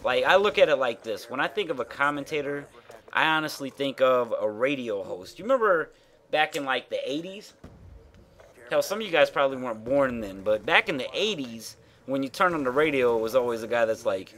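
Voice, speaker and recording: Nasal gamer guy chatting over his match, loose and rambly, mid pitch. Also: expressive, a little fast, fairly bright tone. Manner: rambling, frank, with fillers and swearing. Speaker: gaming YouTuber. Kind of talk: casual commentary over gameplay.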